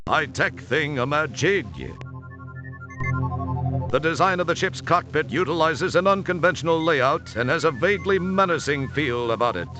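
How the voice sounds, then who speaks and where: A man's voice: on the high side, lightly acted. A man playing a wry, mock-dramatic narrator in scripted adventure game narration. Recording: clear game music behind voice.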